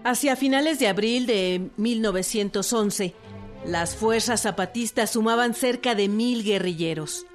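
A woman's voice: medium pitch, a little slow. A woman with a Mexican accent reading scripted documentary narration. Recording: music behind the voice. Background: music.

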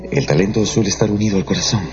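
A man playing a stiff, embarrassed aristocratic count with a halting, formal delivery in a radio drama. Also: on the low side, lightly acted. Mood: awkward, formal. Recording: dull radio drama recording with music.

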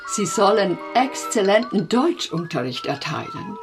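An actress playing a genteel, flattering English lady in a German radio play, formal and courteous. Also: medium pitch, a little slow, over music.

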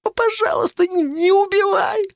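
A man's voice: steady tone, normal speed, vocal fry, sharp tone, dry recording, full character voice. A man doing a stammering, panicky young thug. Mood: terrified pleading. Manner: stammering, pleading, high-strung.

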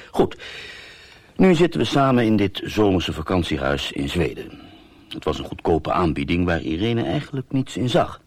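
A man's voice: sits low, a little mellow, dry recording, exaggerated voice. A man playing a scheming, sardonic husband who narrates a radio drama with dry irony and mock affection. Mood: wry, scheming. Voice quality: gravelly, husky.

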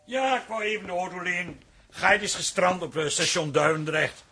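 A man's voice: high voice, normal speed, noisy background. An actor playing an exasperated, sarcastic city man in a Dutch radio drama. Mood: matter-of-fact. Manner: sardonic.

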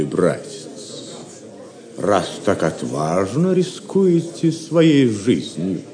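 A haughty champion knight with a low, wide-swinging voice. He speaks slowly and theatrically, sneering at his rival like a boastful villain in an audio drama. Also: warm tone, heavily acted.

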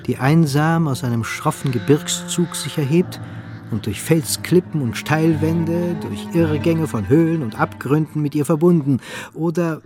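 A man narrating a radio play in a measured, literary and dramatic manner. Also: on the high side, darkish tone.

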